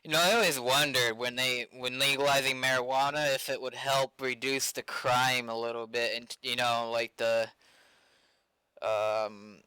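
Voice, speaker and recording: A man's voice: mid-range voice, sharp tone, smooth, dry recording, rough recording. A man with an American accent chatting casually and loosely, in a hesitant, rambling way, like a developer streaming while he works. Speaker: game developer live-streaming.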